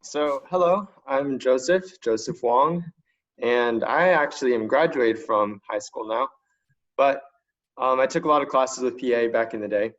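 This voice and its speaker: A man's voice: mid-range voice, unhurried, fairly bright tone. Dull-sounding recording of a young man, casual. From China. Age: young adult. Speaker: student panelist. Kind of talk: casual spoken testimonial. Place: online video conference call. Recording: dull lo-fi online call audio.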